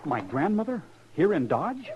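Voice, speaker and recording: A man's voice: fairly high pitch, wildly swooping pitch, unhurried, a little hiss or hum. An actor playing a cowardly, self-justifying young man from a proud family in an old-time radio western. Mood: haughty, uneasy. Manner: whiny, evasive, self-justifying. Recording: muffled vintage radio recording.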